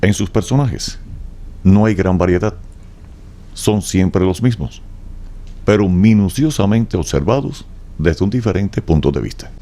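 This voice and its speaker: A man's voice: sits low, animated, measured pace, long pauses, mellow. A man narrating a radio drama from a script, in a formal storytelling style.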